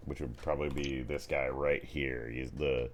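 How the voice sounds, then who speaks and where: A man with a low voice runs a tabletop game as game master. He mixes vivid scene narration with casual slang and rules talk. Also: fairly even intonation, normal speed, a little hiss or hum.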